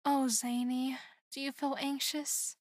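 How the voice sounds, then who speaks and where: An actress playing a gentle middle-aged queen and mother in an audio drama, affectionate and courteous. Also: high voice, little pitch movement, slow, no echo, studio quality. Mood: tender concern.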